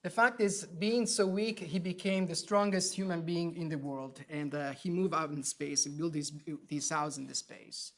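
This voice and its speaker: A surgeon from Italy with an Italian accent gives an academic lecture, pausing often with fillers and restarting phrases. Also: male voice, fairly high pitch, fairly even intonation, a bit bright. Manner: hesitant, many fillers and restarts. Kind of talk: academic lecture at grand rounds. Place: lecture hall.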